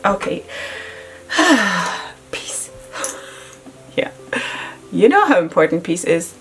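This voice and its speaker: A middle-aged woman who speaks English as a second language chats casually through a makeup routine, giggling often and filling pauses with "you know" and "like". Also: medium pitch, big pitch swings, faint music behind. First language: not English. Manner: chatty, giggly, many fillers. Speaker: beauty vlogger. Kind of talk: casual makeup tutorial chat. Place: home makeup setup in Israel.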